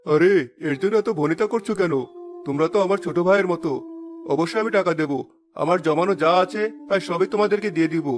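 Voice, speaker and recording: A man's voice: sits high, quick pace, close to an everyday voice. A man playing an earnest, emphatic village shopkeeper in a cartoon story, over faint music. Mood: warm, generous. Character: honest generous village cloth merchant, later a vengeful restless ghost.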